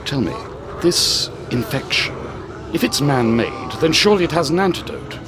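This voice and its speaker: A man's voice: on the high side, lively intonation, moderate pace, noisy background, close to an everyday voice. An actor playing a proud, protective alien colonist and father in an audio drama, speaking formally and earnestly. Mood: urgent.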